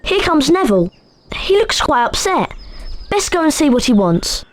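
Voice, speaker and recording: A woman playing a bright, eager, friendly schoolchild of about eleven in a video game. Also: sits high, very animated, moderate pace. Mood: eager, informative. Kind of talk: video game character acting.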